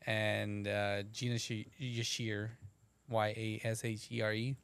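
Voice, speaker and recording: A man's voice: lowish voice, flat delivery, slow, vocal fry, fairly bright tone, clean tone. A man in casual conversation, talking in a laid-back, rambling way with casual swearing. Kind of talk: casual chat.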